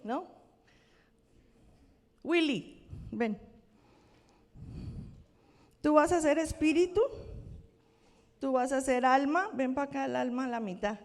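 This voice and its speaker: A woman with a Colombian accent preaches from the pulpit in a high, light voice. She keeps it conversational, checking in with the congregation as she teaches. Her words ring out with the long reverb of a church hall. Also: takes it slowly, pauses often, darkish tone. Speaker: pastor. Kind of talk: sermon. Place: church sanctuary.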